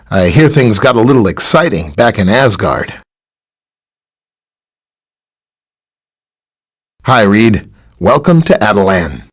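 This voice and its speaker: A man's voice: lowish voice, slightly rough, full character voice. A man playing a friendly, easygoing superhero scientist in a video game, explaining things in a casual, conversational way. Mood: friendly greeting. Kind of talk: video game character dialogue, briefing and greeting.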